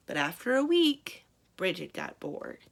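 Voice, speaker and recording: A woman reading a children's picture book aloud expressively as a storyteller, with dramatic emphasis and drawn-out words. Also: mid-range voice, sing-song, gravelly. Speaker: library storyteller.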